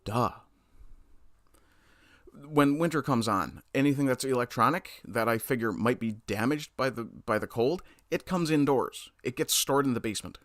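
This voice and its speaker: Male streamer host, blunt and profane, bright forceful tone, dry close mic. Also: medium pitch, expressive, normal speed, no echo. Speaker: livestream commentator. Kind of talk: casual political commentary, reading chat.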